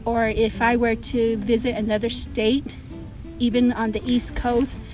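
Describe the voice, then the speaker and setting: Higher-voiced woman explaining with examples, music behind. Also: little pitch movement, measured pace. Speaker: teacher. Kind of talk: casual interview answer.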